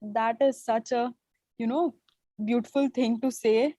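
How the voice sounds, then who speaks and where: A woman's voice: fairly high pitch, fairly even intonation, a little slow, no room sound. A young adult woman whose first language is Hindi, hosting an interview on a video call, affirming and thoughtful with hesitant phrasing. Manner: warm affirming, hesitant phrasing with many fillers. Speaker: host.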